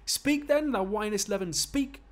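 A man's voice: high voice, a little slow, voice pushed up. A man playing a big, dim, angry warrior with a Geordie accent, barking his lines in a belligerent read-aloud of a stage play. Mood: furious. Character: Ajax, big strong dim-witted brutish warrior, bullying and angry.

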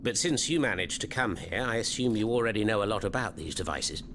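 Theatrical French revolutionary, animated swooping pitch. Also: male voice, fairly quick, slight background noise. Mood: grave, explanatory. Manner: theatrical, florid, eloquent. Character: theatrical, fervent male French revolutionary hiding underground. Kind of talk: video game cutscene acting.

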